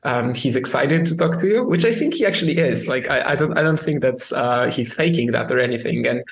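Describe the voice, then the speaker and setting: A man's voice: mid-range voice, animated, brisk, creaky. A man co-hosting a sports podcast, talking casually with many restarts and fillers. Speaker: podcast co-host.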